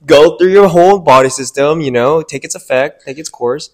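A man's voice: fairly high pitch, moderate pace. A young man co-hosting a casual podcast, teasing his friend and tossing in short replies. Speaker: podcast co-host. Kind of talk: casual chat.

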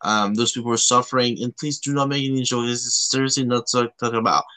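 A man from Canada hosting a casual podcast, talking in a halting way and often rephrasing himself. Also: mid-range voice, fairly even intonation, pushed and bright, smooth. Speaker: podcast host.

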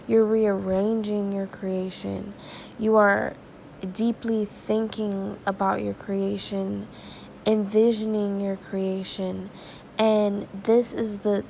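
Woman podcast preacher, stuffy and raspy from crying; gravelly, slow, earnest delivery. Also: mid-range voice, darkish tone, slight background noise. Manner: earnest, conversational, slow and prayerful. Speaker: devotional podcast host. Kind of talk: informal devotional talk and prayer.

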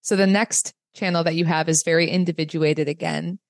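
A woman giving a guided reading in a calm, explanatory manner. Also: low voice, fairly quick, dry recording, studio quality. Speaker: human design reader, host.

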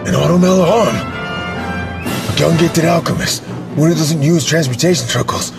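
A man's voice: a little high, moderate pace, gravelly, music in the background. A man playing a menacing, bitter rogue alchemist in an anime fan dub, theatrical and taunting. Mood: intrigued. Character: menacing rogue ice alchemist, bitter war veteran villain.

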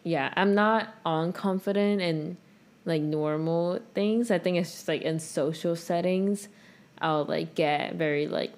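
A woman's voice: lowish voice, unhurried, a little mellow. A young woman co-hosting a podcast, chatting casually and rambling with lots of "like". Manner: rambling, heavy use of "like". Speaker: podcast co-host. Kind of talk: casual chat.

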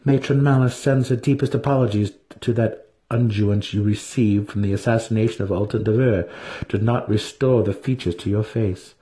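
A man voicing an imperious female dark elf high priestess in an audiobook fantasy tale, with a haughty, commanding manner. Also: fairly even intonation, normal speed, close to an everyday voice. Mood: haughty, bargaining.

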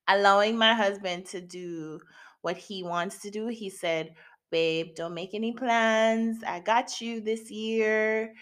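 A woman's voice: mid-range voice, a bit bright. A woman in her late twenties hosting her own podcast, chatting candidly and playfully with frequent laughs. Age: late 20s. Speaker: podcast host. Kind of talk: casual solo podcast chat. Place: home in Japan.

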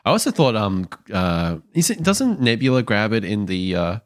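A man's voice: lowish voice, sing-song, normal speed, no room sound, clean studio sound. A man speaking as a podcast host in a casual, unscripted discussion about films.

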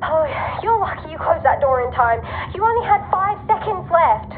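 A woman playing a bossy, sharp-tongued companion in an audio drama, heard through a radio-like filter. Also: very high-pitched, very animated, moderate pace, a little hiss or hum. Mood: cross, scolding. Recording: thin phone-like sound, faint music.

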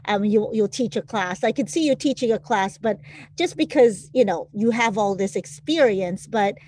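A woman's voice: medium pitch, expressive, moderate pace, a little mellow. A woman interviewing a guest, asking casual questions that stall and restart as she works out what she wants to ask.

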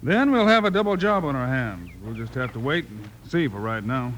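An actor playing a calm, confident western lawman hero, measured and reassuring, in a 1950s radio western. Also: medium pitch, lightly acted. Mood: calm, resolute. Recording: slightly noisy old radio recording.

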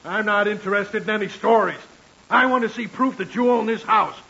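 An American actor playing a brusque, impatient and suspicious police officer in 1940s radio comedy style. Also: male voice, high voice, brisk, vocal fry, pushed and bright, full character voice. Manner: brusque, commanding. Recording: dull 1940s radio broadcast.

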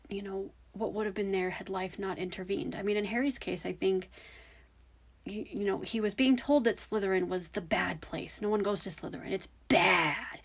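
A woman with a young-sounding voice hosting a podcast, musing hesitantly with many fillers. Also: medium pitch. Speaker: podcast host. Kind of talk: casual solo podcast commentary.

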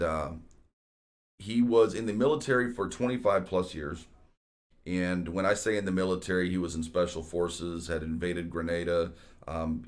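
A man, hosting a real estate talk show in a casual, conversational way with frequent fillers. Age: 40s. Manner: deep voice. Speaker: real estate podcast host.

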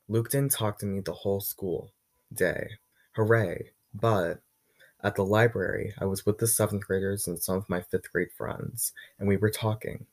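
A teenage boy with a deep voice, hosting his own vlog in a chatty, blunt rant that swears freely. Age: teens. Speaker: vlog host. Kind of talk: casual ranting monologue.

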